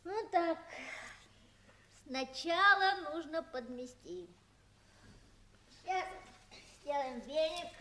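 A woman's voice: sits high, animated, slow pace, pauses often, pushed and bright, slight background noise, exaggerated voice. A woman playing a trusting, wistful nine-year-old orphan boy in a 1960s radio play. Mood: busy, playful. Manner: wistful, childlike.

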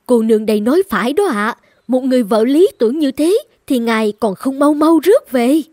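A woman playing a fawning, eager matchmaker in a cartoon folktale, gushing and flattering. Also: sits high, brisk, no room sound. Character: fawning, eager female matchmaker in red kimono. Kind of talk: animated folktale acting.

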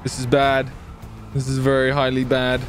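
A man's voice: mid-range voice, monotone, normal speed, soft background music. A man chattering live through a roleplay game on voice chat, exclaiming and swearing as he reacts aloud to the action. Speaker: gamer, channel host.

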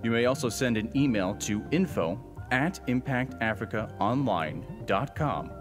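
A man's voice: mid-range voice, expressive. Male announcer over background music. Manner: measured, reads contact details. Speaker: station announcer. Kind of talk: scripted station break announcement.

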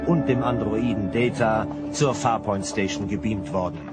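A man's voice: fairly low pitch, normal speed. A man narrating a scripted science fiction audio drama. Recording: clear music bed under narration.

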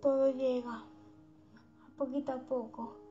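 High-voiced woman guiding a slow sleep meditation. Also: little pitch movement, warm tone. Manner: soothing, unhurried, affectionate diminutives. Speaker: guided meditation host. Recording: dull lo-fi sound under background music.

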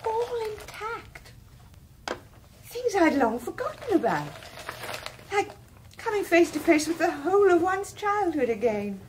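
An actress playing a sentimental, hesitant but gently stubborn English wife in a radio drama. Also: female voice, very high voice, very animated, unhurried, long pauses, slight background noise, exaggerated voice. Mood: nostalgic. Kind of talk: 1980s British radio drama acting.

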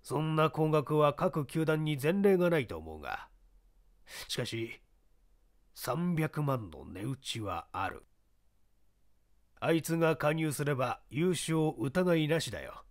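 A man voicing a shrewd baseball talent scout who talks in a measured, persuasive way in an audiobook. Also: a little high, big pitch swings. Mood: pondering.

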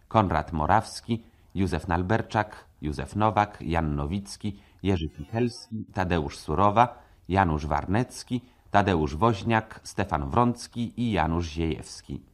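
A man with a deep voice makes a formal radio announcement. His delivery is scripted and orderly, in the manner of a credits reading.

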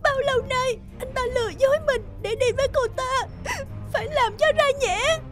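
A woman's voice: extremely high pitch, normal speed. A woman playing a distraught, betrayed young wife in a cartoon melodrama, crying as she speaks. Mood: tearful despair. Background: background music.

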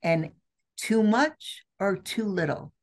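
A woman's voice: mid-range voice, lively intonation. A middle-aged woman speaking earnestly as a guest on a video call interview from an office, with frequent restarts. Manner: earnest, slow and deliberate with many restarts. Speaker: trade show CEO, guest. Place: office with windows. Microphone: video call webcam.

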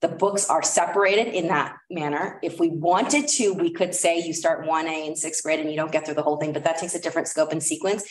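A woman's voice: low-pitched, steady tone, fairly quick, few pauses. A woman presenting a curriculum recommendation at a school board meeting, talking casually with many fillers. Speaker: district administrator presenting curriculum. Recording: echoey room, voice far from mic.

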